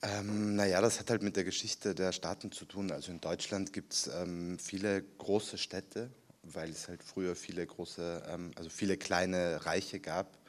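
A man in his thirties from Austria with a Viennese accent, an author answering questions at a library reading, relaxed and halting with many fillers. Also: deep voice. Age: 30s. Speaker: author guest. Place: city library event room.

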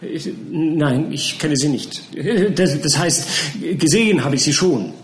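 A timid, flustered gentleman in the witness stand, as a male narrator puts him on stammers softly with nervous hesitations. His voice is a little raised but lacks force. A roomy echo surrounds it. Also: on the high side, unhurried, voice pushed up, natural voice. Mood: timid stammering.

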